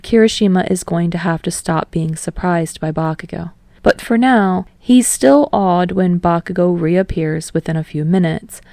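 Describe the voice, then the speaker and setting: A woman narrates fiction from a script. Her voice sits on the low side, soft-edged and mellow with a fair amount of fry. The recording is close and dry. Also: no echo. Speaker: podfic narrator. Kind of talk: scripted narration, audiobook storytelling.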